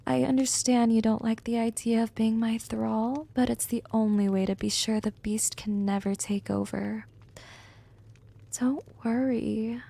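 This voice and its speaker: A woman acts as a seductive vampire hypnotist in an ASMR roleplay. Her voice is fairly high yet mellow and soft, with frequent whispers. She speaks very unhurriedly, teasing and coaxing with gentle, smug control. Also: little pitch movement, measured pace. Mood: earnest, coaxing. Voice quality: soft, breathy, often dropping into whispers. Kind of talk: ASMR roleplay, intimate monologue.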